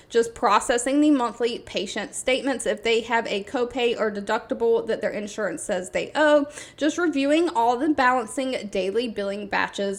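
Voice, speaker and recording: A woman hosting an informational video, explaining details plainly in a semi-scripted narration. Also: a little high. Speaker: video host sharing job listings.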